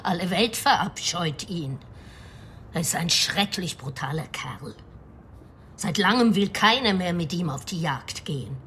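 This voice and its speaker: Slow-speaking grave widow. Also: female voice, on the low side, expressive, a bit bright, a little hiss or hum. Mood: ominous, hesitant. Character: grave, anxious provincial French widow and mother. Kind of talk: radio crime drama acting.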